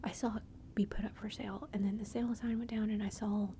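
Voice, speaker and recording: A woman telling a personal story in a soft, chatty whisper, ASMR style. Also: medium pitch, level tone, fast talker, slight background noise. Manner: soft unvoiced whisper throughout; chatty, conversational asides.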